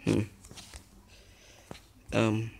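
A young man giving casual, rambling commentary over his own gameplay, chatty and easily excited. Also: drawn-out words, slight background noise. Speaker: gaming youtuber.

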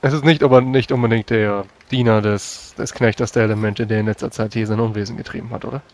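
A man's voice: on the low side, fairly even intonation, fast. A man playing in a tabletop roleplay, speaking in character with formal, old-fashioned phrasing. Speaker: tabletop role-player.

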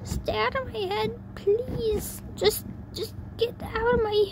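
A woman's voice: fairly even intonation, takes it slowly, full character voice. A woman voicing a tormented female cartoon pegasus pony from a fan story, pleading desperately in a strained, repetitive way. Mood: tearful pleading. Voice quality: high-pitched, strained. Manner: pleading, repeating herself. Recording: noisy homemade recording with hum.

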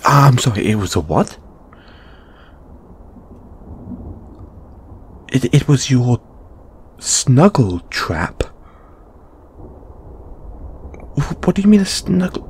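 A man acts out a nervous, lovesick lamia flatmate in an intimate roleplay. He talks slowly and haltingly, stammering and sighing as he goes. His voice is of medium pitch, with clear background noise behind it. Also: close to an everyday voice. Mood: flustered, confused. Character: shy, anxious, lovelorn young male lamia flatmate. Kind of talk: intimate audio roleplay monologue.

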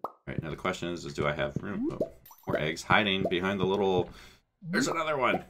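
A man's voice: low-pitched, a little fast. A man in his thirties with a stuffy nose, chatting casually and rambling while he plays a farming game on a headset mic. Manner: relaxed, rambling, thinking aloud. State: congested from a cold. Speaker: gaming channel host. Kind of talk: casual let's play commentary. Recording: game music playing under voice.

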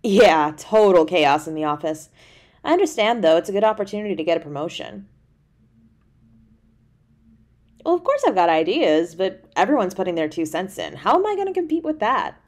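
A woman's voice: fairly low pitch, big pitch swings. Woman acting a flustered, tender young girlfriend. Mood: unsure, confiding. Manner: hesitant, stammering, halting delivery. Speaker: audio roleplay voice actor. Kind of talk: intimate girlfriend audio roleplay acting.

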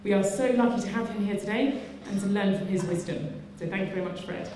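A woman's voice: mid-range voice, fast talker. A middle-aged woman giving a prepared introduction as a conference host, speaking at a podium microphone. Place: large indoor conference hall, at a podium. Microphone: podium microphone on a stand. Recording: very echoey hall, faint room noise.

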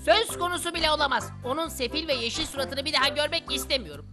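A woman's voice: high voice, expressive, fast, over loud music. A woman voicing a grumpy, easily offended duck in a children's cartoon, with a huffy, dramatic manner and quacking interjections. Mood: sulky, huffy. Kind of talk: animated children's cartoon dub.

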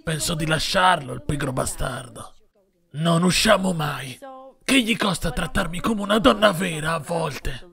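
Man voicing a gravelly, bitter cartoon wife, high-pitched. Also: unhurried, full character voice. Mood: bitter complaining. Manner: grumbling, deadpan, foul-mouthed. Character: bitter, world-weary middle-aged toon wife, obese, complaining. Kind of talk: mock-documentary cartoon confession, Italian dub parody. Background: music.

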